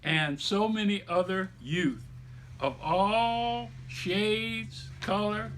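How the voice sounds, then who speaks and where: A middle-aged man reading a book aloud like a storyteller, with emphatic, deliberate phrasing and explanatory asides to listeners. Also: high-pitched, fairly bright tone, a little hiss or hum, rough recording. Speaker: author reading own children's book aloud.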